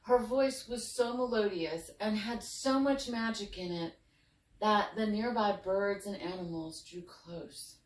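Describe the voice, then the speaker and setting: A woman speaks slowly and earnestly, with expressive, thoughtful pauses. She sounds like a storyteller opening up. The recording is echoey and a little distant from the mic, with some noise behind her. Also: medium pitch. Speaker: fairy tale writer and storyteller. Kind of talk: heartfelt personal talk, then storybook reading.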